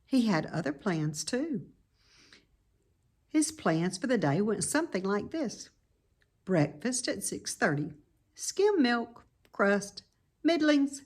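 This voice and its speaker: A woman reading a children's story aloud as narrator, with deliberate, dramatic emphasis. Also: medium pitch. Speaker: storybook reader.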